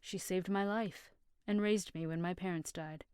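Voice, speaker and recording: A woman's voice: on the low side, steady tone, normal speed, a little mellow, smooth, no echo, lightly acted. A woman plays a young female henchwoman in a crime audio drama. She is serious and guarded, with terse delivery. The sound is dry and close.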